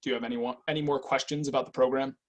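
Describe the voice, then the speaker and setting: An actor playing a guarded, clinical agent with a cool, probing, businesslike manner in an online screenplay reading. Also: male voice, medium pitch, fairly even intonation, racing, close to an everyday voice. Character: guarded, clinical intake agent, weary but obedient man. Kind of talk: online screenplay table read.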